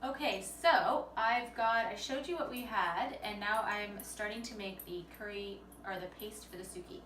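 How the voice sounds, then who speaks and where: A woman's voice: medium pitch, normal speed, fairly bright tone, echoey room. Woman chatting over a noisy cooking vlog. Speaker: amateur cooking vlogger. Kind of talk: casual chatty vlog narration and cooking demonstration. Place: on location at shops and a home kitchen. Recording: noisy amateur recording with kitchen clatter.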